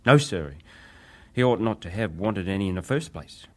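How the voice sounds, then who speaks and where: An actor playing a ship's wireless operator, a respectful but defensive witness giving clipped testimony in a dramatised hearing. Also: male voice, fairly low pitch, expressive, moderate pace. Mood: defensive, firm. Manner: clipped, respectful, matter-of-fact.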